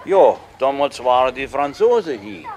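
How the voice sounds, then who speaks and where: A man's voice: medium pitch, big pitch swings, moderate pace, darkish tone, slight background noise, close to an everyday voice. An actor playing a proud, talkative village father in a naturalistic radio play, with colloquial, rustic phrasing. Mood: proud, showing off.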